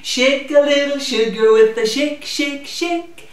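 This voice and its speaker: A middle-aged woman hosting children's story time in an animated, sing-song manner, talking and singing to small children. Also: high-pitched, takes it slowly. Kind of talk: children's story time with puppet chat and songs. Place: indoors, small room in a community library. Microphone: camera a few metres away. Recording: very echoey room, distant microphone.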